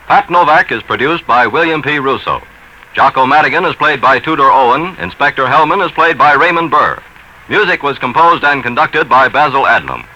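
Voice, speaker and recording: An American man announcing scripted credits in a formal, measured 1940s radio style. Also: mid-range voice. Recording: muffled 1940s radio recording, loud music. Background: loud music.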